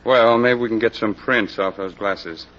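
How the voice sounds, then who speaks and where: Gruff, deep-voiced actor as homicide captain. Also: male voice, normal speed, fairly bright tone, natural voice. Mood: businesslike. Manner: terse, grumbling, matter-of-fact. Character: gruff, world-weary male homicide police captain. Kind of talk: 1940s radio acting. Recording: dull lo-fi old radio recording.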